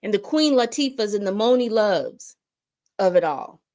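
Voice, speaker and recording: A woman's voice: mid-range voice, expressive, normal speed, darkish tone. A woman in her fifties giving a casual commentary monologue, emphatic and repetitive, often restarting her sentences. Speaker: commentary host.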